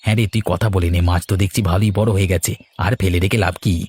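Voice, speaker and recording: Man playing an easygoing, quick-spoken village brother. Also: sits low, steady tone, darkish tone. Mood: practical. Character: easygoing, hardworking village brother in fish business. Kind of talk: cartoon character dialogue.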